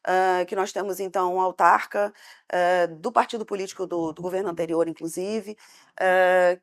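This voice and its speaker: A woman with a Brazilian Portuguese accent, speaking as a debate panelist in an impassioned, hesitant way. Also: mid-range voice.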